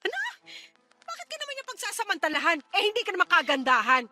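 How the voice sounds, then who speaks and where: Casting: scornful nagging mother for radio drama, very high forceful voice, sarcastic and dismissive, music underneath. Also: very animated, fast talker, heavily acted. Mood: scornful, accusing. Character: callous, scornful middle-aged mother siding with her lover. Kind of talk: Tagalog radio drama acting.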